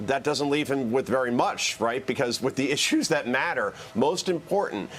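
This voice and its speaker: An American man speaks as a political commentator on live TV, at a middling pitch. He argues emphatically, piling up lists and rhetorical questions. There is noticeable noise behind him. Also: expressive, moderate pace, a bit bright.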